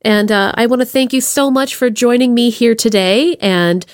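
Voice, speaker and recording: A woman's voice: fairly high pitch, moderate pace. A woman hosting a casual podcast interview with an effusive, playful way of talking. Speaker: podcast host.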